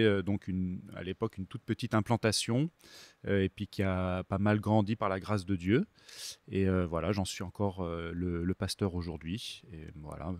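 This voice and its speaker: Low-voiced man from France, pastor guest, measured and halting, dry booth sound. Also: no echo. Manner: thoughtful, halting with many fillers. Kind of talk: podcast interview guest.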